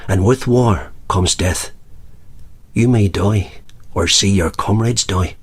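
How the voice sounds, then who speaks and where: Older rebel leader, lowish voice, grave, commanding, radio drama. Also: male voice, takes it slowly, slightly rough. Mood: solemn, commanding. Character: solemn, commanding older male republican recruiter. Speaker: actor in a radio play.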